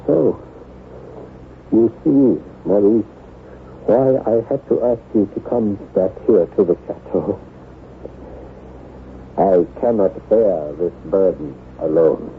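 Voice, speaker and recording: An actor playing an anguished, jealous husband in old-time radio drama, speaking in a halting, melodramatic way. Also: male voice, on the low side. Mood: distraught. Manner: melodramatic, halting, repeats words for emphasis. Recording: tinny, noisy vintage radio recording.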